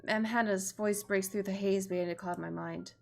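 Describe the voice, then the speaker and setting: A woman's voice: medium pitch, steady tone, fairly quick, gravelly, faint music behind. A woman streamer reading a visual novel aloud expressively, with casual, sweary reactions of her own.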